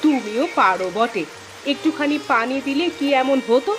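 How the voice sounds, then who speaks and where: A woman voicing a worried, sharp-tongued village housewife in a moral-tale cartoon. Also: sits high, animated, moderate pace, music in the background. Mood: scolding.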